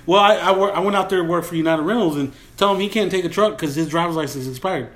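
A man with a Texan accent hosting a casual podcast chat, telling stories in relaxed slang. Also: on the high side, quick pace. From Texas. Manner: laid-back, slangy storytelling. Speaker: podcast host.

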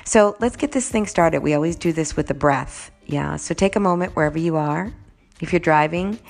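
Woman podcaster, low gravelly voice with a bit of grog, chatty, keeps saying y'all. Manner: warm, conversational, says "right?" and "y'all" a lot. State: slightly groggy voice. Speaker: podcast host. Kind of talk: casual solo podcast talk.

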